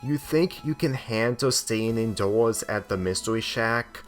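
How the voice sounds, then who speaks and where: A man's voice: medium pitch, measured pace, close to an everyday voice. Chatty, earnest young girl, voiced by a man, storybook dialogue over light music. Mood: concerned. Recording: music under the voice.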